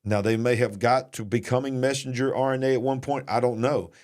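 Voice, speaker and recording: A man's voice: mid-range voice, fairly even intonation, brisk, darkish tone. Folksy southern man hosting a live show. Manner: jokey, folksy, mocks fake accents. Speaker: host. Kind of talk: casual live-stream commentary.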